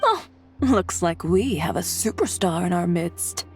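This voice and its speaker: A woman's voice: fairly low pitch, sing-song, unhurried, faint music behind. A woman voicing a poised, sardonic sophisticate in a video game, dry and teasing in manner.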